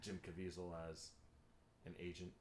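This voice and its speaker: A man's voice: low-pitched, level tone, normal speed, a little mellow. A man taking part in a podcast, chatting casually in short remarks. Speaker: podcast participant. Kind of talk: casual chat about movies.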